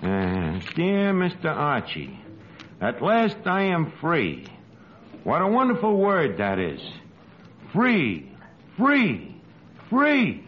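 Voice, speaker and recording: A wisecracking, uneducated tavern manager talks in slangy New York speech. The voice is high and very animated, on a muffled, noisy old radio comedy recording with a live crowd. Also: male voice, slow pace, pauses often, exaggerated voice. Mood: wry. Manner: slangy, malapropisms, hesitant asides. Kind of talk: 1940s radio comedy acting.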